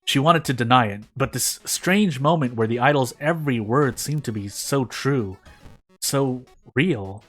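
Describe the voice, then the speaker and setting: Male narrator for a dramatic fan fiction reading, medium pitch, over background music. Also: unhurried, a bit bright. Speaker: reader of a fan fiction story.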